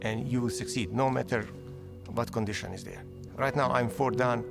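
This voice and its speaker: A man in his fifties, originally from Bosnia, speaking English with a Bosnian accent in an interview, halting and repeating words as he searches for phrasing. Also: mid-range voice, creaky. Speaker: award recipient. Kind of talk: recorded interview testimonial. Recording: music bed underneath. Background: music.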